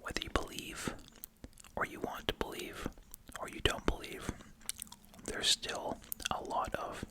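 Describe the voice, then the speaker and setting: An ASMR host speaking entirely in a soft, breathy whisper, musing casually while chewing gum. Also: unhurried.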